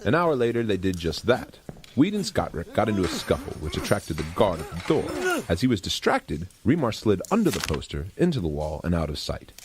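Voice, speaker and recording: A man's voice: low-pitched, huge pitch swings, a little fast. A man giving scripted, dramatic narration for an audio story. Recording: faint background noise.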